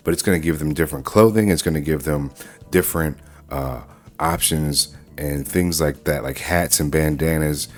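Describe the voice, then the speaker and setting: A man hosting a casual software walkthrough, talking informally through each step as he goes. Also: rumbling low. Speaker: tutorial host.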